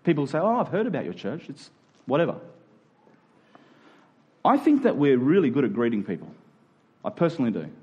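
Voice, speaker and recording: A man's voice: medium pitch, very animated, a little fast, pauses often, breathy edge, highs cut off. A man with an Australian accent preaching as a pastor, earnest and conversational, often posing questions to his listeners. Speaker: church pastor. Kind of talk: sermon.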